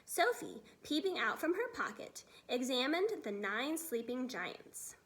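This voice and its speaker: A woman reading a children's story aloud as expressive narration, in the role of a teacher. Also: sits high, measured pace.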